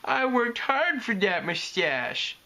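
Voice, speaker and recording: A man's voice: medium pitch, big pitch swings, slow, pushed and bright, breathy edge, full character voice. A man voicing a young boy dragon for a story, sulky and dramatic, grumbling with wounded dignity.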